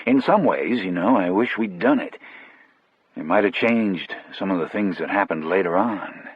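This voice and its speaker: A man's voice: deep voice, expressive, moderate pace, husky, dry recording, muffled recording. A man narrating an audiobook in the first person, as a storyteller.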